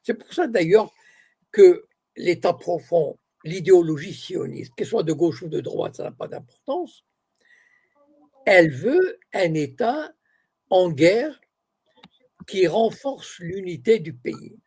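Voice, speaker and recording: Gravelly husky man, native Arabic speaker. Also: high voice, expressive, slow pace, long pauses, darkish tone. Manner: explains point by point, with many restarts. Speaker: guest. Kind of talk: answering questions in a live interview.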